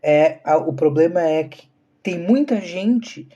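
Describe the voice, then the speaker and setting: A man's voice: on the high side, animated, slow, dark tone, lo-fi sound. A man, talking earnestly to viewers on a live stream and asking rhetorical questions. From southern Brazil. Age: 30s. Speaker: spiritual channel host.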